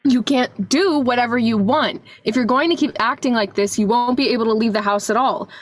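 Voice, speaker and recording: A woman's voice: fairly high pitch, fairly quick, no echo, lightly acted. A young woman playing a bossy, worried older sister in an amateur radio play. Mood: upset. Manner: scolding, exasperated.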